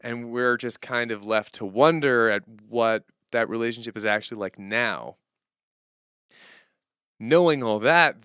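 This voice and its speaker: A man chatting casually and unscripted as a streamer and songwriter, animated and rambling as he thinks aloud and interrupts himself. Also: medium pitch, clean tone, dry recording, clean studio sound. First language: English as main language, German heard at home.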